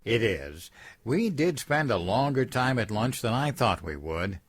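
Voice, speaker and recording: A man's voice: medium pitch, big pitch swings, a little slow, husky, no room sound. An actor playing a genial, oblivious president-elect, courtly and pompous, doting on his wife. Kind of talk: comic audio drama acting.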